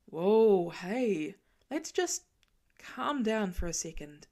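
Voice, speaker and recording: A woman's voice: medium pitch, sing-song, slow, darkish tone. A woman voicing a confident, wisecracking grown man who talks in a dry, teasing way. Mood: wry. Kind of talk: audiobook fan reading.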